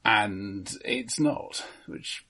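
Male co-host, low husky voice, dry measured delivery, casual critical chat. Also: sharp tone. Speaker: film podcast co-host. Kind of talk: casual film review chat.

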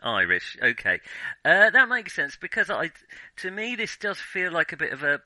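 Male film podcast host. Very forceful, bright tone, dry sound, hesitant conversational reviewing with frequent rephrasing. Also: high-pitched, huge pitch swings, no room sound, poor audio. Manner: hesitant, halting, self-interrupting. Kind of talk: casual film review discussion.

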